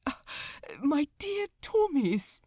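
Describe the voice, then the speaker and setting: A woman's voice: high-pitched, very animated, slow, warm tone, no room sound, pitched above the performer's own voice, heavily acted. A woman plays a tender-hearted male angel in an audiobook. He is polite in an old-fashioned way and faltering with anguish, his voice swinging expressively in a dry, close recording. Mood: shocked, tender. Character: Aziraphale, gentle male angel, anguished and devoted friend.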